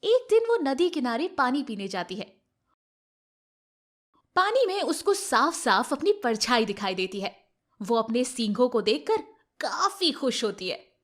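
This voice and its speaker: Female narrator for kids' fables: high register, animated intonation, scripted storytelling. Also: measured pace, long pauses.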